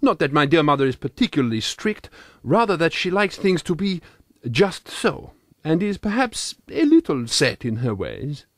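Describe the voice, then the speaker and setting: A man's voice: on the high side, big pitch swings, moderate pace, a bit bright, no echo, studio quality. A man voicing an earnest, pompous thirty-eight-year-old Frenchman with a French accent, reading a comic audiobook diary in formal, quaintly wordy phrases. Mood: earnest, self-important.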